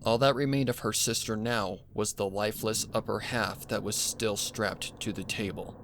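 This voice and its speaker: A man's voice: lowish voice, fairly even intonation, normal speed, a bit bright, slight background noise. A man reading a scripted horror story as narrator, with music playing behind him. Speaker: fan fiction narrator.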